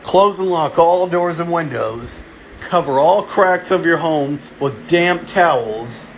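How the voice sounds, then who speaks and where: A man playing a solemn emergency alert announcer in an amateur mock broadcast, deliberate and halting, restarting his phrases. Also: fairly high pitch, expressive. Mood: urgent warning. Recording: dull lo-fi home recording, noticeable background hum.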